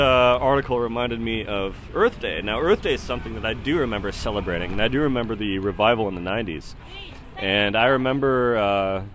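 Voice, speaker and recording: A man's voice: lowish voice, noisy background. A man in his thirties giving casual, rambling spoken commentary in a hesitant, musing way that often trails off. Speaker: solo podcast host.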